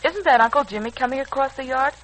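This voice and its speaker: A woman's voice: high voice, fast talker, fairly bright tone. An actress playing a poised, wry, analytical young woman in a 1940s radio serial. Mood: wry, composed. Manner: wry, composed. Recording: old radio recording, faint noise.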